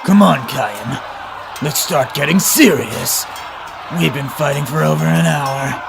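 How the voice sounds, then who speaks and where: A man playing a confident, combative ice warrior in an animated fantasy battle, speaking in a bold, challenging way. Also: on the high side, unhurried, gravelly, over music. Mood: fierce challenge.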